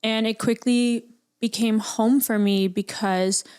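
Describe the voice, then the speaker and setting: A woman's voice: fairly high pitch, steady tone, slow pace, a little mellow, smooth. A young adult woman chatting candidly as a podcast guest, talking freely and filling her speech with "like". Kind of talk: casual chat.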